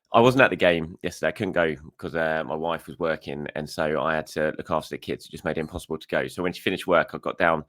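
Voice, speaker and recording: A man hosting a football reaction show in frank, chatty solo commentary, his voice croaky, often repeating words for emphasis. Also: very deep voice, a little fast, a bit bright, no echo, clean studio sound.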